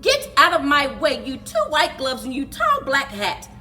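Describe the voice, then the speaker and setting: Woman voicing a bossy, fearless little old lady, animated. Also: high-pitched, moderate pace, soft background music, exaggerated voice. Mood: defiant. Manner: emphatic, defiant.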